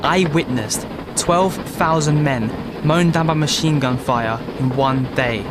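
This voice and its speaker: An actor playing a proud, excitable army lieutenant in a First World War audio drama, formal and clipped. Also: male voice, fairly high pitch, slow, noisy background. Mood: challenging, grave. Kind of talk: period audio drama acting. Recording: very loud battlefield and vehicle ambience.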